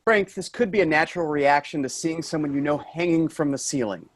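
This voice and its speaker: A man's voice: a little high, brisk, close to an everyday voice. A man acting an exasperated but caring office worker, earnest and reproachful, in a staged play reading. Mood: concerned.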